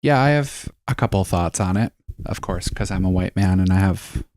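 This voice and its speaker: A man's voice: deep voice, moderate pace, darkish tone, no echo. A young man, co-hosting a casual podcast chat with dry, sarcastic humor. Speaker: podcast co-host.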